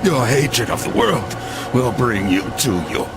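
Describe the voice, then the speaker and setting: A proud old knight in a game cutscene. He is grave and theatrical, with a gravelly voice that rises and falls dramatically, defiant and menacing over loud music. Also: medium pitch, sing-song, a little slow. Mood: bitter, defiant. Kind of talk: video game cutscene acting.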